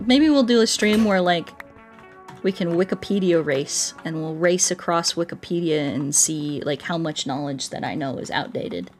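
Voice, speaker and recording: A woman's voice: on the low side, animated, normal speed. Female game streamer, rambling and playful, casual chat delivery over background music. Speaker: game livestreamer. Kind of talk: casual livestream chat while playing.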